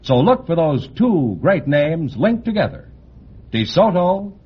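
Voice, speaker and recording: A man's voice: fairly high pitch, sing-song, a little slow, slight background noise. A man with an American accent reading a 1950s radio advertisement in a polished, salesmanlike way. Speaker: commercial announcer. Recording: muffled old radio recording.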